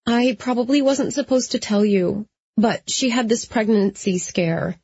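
A woman's voice: a little high, a little slow, warm tone, breathy edge, dry recording, dull audio, voice pushed up. A woman voicing a sassy, blunt young female vampire in an audiobook, with an emphatic, informal manner. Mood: confiding.

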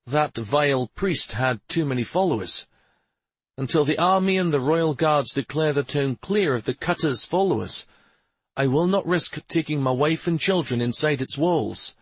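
A man voicing a hot-tempered young prince in an audiobook story, brooding, defensive and quick to snap. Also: on the high side, expressive, slightly rough, no echo, voice pushed up, rough recording. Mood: firm, defensive. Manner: snapping, argumentative.

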